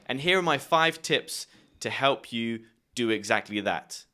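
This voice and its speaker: A young man with a bright, forceful tone and a middle-range pitch, talking to his viewers as a language teacher. He tells personal anecdotes and gives practical advice in a chatty, upbeat manner, full of quick asides. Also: lively intonation. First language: English. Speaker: language teacher and video host. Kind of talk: friendly explanatory video lesson.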